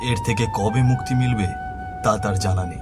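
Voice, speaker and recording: A man's voice: lowish voice, fairly even intonation, moderate pace, loud music behind the voice. A man narrating a scripted horror story in a solemn, earnest manner.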